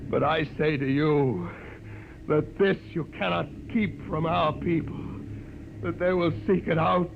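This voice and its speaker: A man's voice: a little high, steady tone, unhurried, gravelly, narrow, tinny audio, background noise. An actor playing an impassioned idealist who defies his commander, declaiming in 1950s radio drama style on an old recording. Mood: visionary, fervent. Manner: declamatory, oratorical. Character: impassioned idealist man defying his armed commander, pleading and prophetic.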